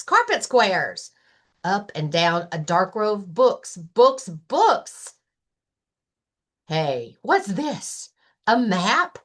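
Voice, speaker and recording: A woman librarian reading a children's story aloud, expressive and deliberate, with emphatic phrasing and playful sound words. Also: a little high.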